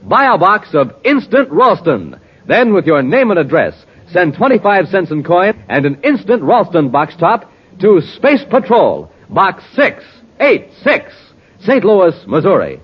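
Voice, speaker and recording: A high-voiced male announcer with a very animated, swooping delivery. He pitches to children with urgent enthusiasm in 1950s radio style. The recording is old and a little muffled. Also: unhurried, breathy edge.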